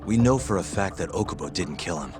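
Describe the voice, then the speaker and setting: A man playing a calm, thoughtful private detective in an English-language video game, with a measured American delivery. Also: sits low. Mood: reasoning. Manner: measured, pensive, understated. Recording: music behind the voice.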